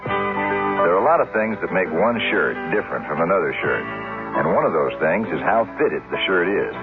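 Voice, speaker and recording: Male ad announcer: deep, folksy, emphatic, conversational sell on a dull old radio recording. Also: very animated, moderate pace, over loud music. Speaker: advertising announcer. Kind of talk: conversational scripted radio commercial.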